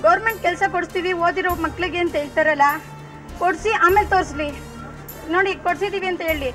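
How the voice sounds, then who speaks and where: A woman's voice: extremely high pitch, fast talker, music in the background. A woman with a Karnataka accent giving a blunt, challenging political complaint as a member of the public.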